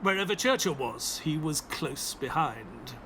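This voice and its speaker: A man playing a polite, inquisitive English doctor in an audio drama, expressive and well-mannered. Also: fairly high pitch, unhurried, background noise. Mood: reflective, storytelling. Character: polite, inquisitive English doctor narrating his detective adventures. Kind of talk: scripted first-person audio drama narration.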